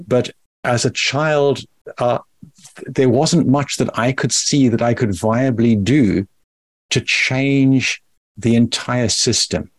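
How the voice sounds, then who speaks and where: Brief: middle-aged male guest from South Africa, unhurried and reflective, close dry sound. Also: mid-range voice, no room sound. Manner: measured, thoughtful, careful phrasing. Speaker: guest, company founder. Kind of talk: podcast interview.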